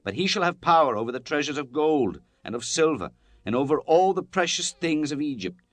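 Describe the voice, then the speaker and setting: A man's voice: a little high, very animated, fairly quick, no echo. A man narrating scripture in a solemn, declamatory style, as in a dramatized Bible reading.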